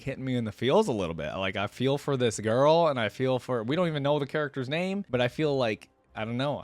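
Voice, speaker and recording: A man's voice: mid-range voice, dry recording. A man reading a story aloud as a reaction host, with informal, slangy asides, recorded close on a microphone.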